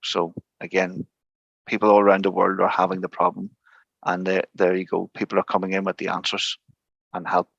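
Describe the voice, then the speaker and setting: A man speaking as a guest expert in an informal online tutorial, encouraging but halting, over slightly dull call audio. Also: sits low, completely flat delivery, gravelly, fairly bright tone, no room sound.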